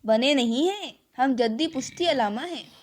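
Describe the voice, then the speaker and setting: A woman's voice: high-pitched, animated, normal speed. A woman voicing a boastful, indignant village police inspector in a children's cartoon story. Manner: pompous, indignant.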